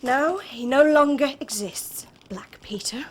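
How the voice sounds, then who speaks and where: A soft-spoken young woman with secrets, high voice, faint hiss. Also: slow, poor audio. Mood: confiding. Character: quiet, secretive young woman, calm and resolved.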